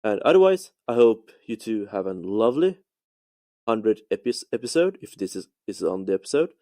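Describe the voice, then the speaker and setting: A man from Finland with a Finnish accent, leaving a halting, polite voice message with many restarts. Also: fairly low pitch, very animated, dark tone. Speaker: voicemail caller. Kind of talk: recorded voice message.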